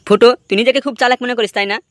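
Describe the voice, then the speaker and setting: A man's voice: very high-pitched, fairly even intonation, very fast, fairly bright tone, dry recording, clean studio sound. A man voicing a brusque, scornful henchman in a comedy cartoon, taunting as he talks. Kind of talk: amateur comedy cartoon dubbing.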